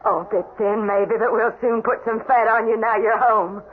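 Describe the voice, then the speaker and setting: A woman's voice: fairly high pitch, steady tone, normal speed, narrow, tinny audio, lightly acted. An actress playing a careworn, widowed Texas farm mother in a radio drama, emotional and pleading. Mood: tearful relief. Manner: emotional, pleading, folksy rural speech. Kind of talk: old-time radio drama acting. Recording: thin, band-limited vintage radio recording, hissy.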